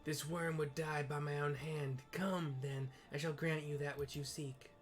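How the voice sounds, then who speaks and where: A man's voice: fairly high pitch, steady tone, unhurried, faint music behind, natural voice. A man voicing a huge, cruel winged female deity in a fantasy game, haughty and theatrical with archaic phrasing. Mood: threatening.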